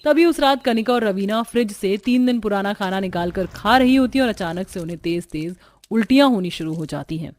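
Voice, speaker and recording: A woman's voice: medium pitch, animated, fairly quick, darkish tone. A woman reading scripted storytelling narration for a cartoon. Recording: music bed behind the voice. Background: background music.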